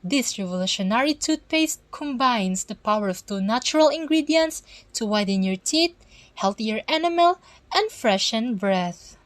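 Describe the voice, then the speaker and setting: A woman's voice: a little high, animated, normal speed, no room sound. A woman reading a scripted commercial as an announcer. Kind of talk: scripted toothpaste ad read. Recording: faint background noise.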